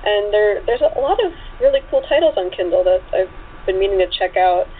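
A woman with a mid-pitched voice talks as a guest author in a relaxed interview. She sounds enthusiastic and conversational. Her audio is narrow and phone-like, with background noise. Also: brisk. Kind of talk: casual interview chat.

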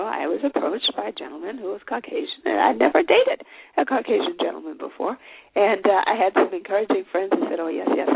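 A woman's voice: mid-range voice, gravelly, breathy edge. Woman host, phone-like lo-fi sound. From Zimbabwe. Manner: earnest, conversational, with many fillers. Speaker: show host. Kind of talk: solo advice talk. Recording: phone-like line with faint noise.

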